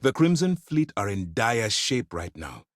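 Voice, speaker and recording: An actor playing a stern, principled middle-aged military commander in a space video game, speaking in a measured, formal, authoritative way. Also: male voice, lowish voice, big pitch swings, no room sound, studio quality. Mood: grave, firm. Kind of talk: dramatic video game dialogue.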